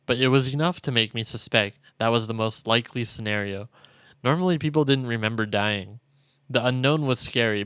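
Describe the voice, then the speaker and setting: A man streaming a visual novel, reading its text aloud as dramatic, measured narration. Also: medium pitch, a bit bright, clean tone, no echo. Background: game music.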